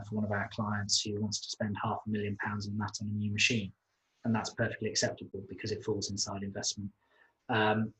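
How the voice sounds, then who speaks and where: A man answering questions on a business webinar, explaining finance at length with many pauses, fillers and restarts. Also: lowish voice, fairly bright tone, low-quality recording.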